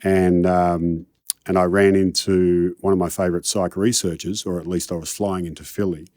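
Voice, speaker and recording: A man's voice: deep voice, flat delivery, moderate pace, a little mellow, dry recording, studio quality. A middle-aged Australian man, a coach telling his career story in a casual interview, rambling and self-deprecating. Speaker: high-performance coach, guest.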